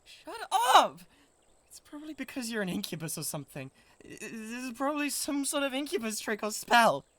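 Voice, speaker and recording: A man's voice: very high voice, sing-song, moderate pace, pushed and bright, exaggerated voice. A man with a British accent playing a lovesick, possessive giant who stammers and gets defensive in a comic audio roleplay. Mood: exasperated. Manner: stammering, pleading, easily riled defensiveness.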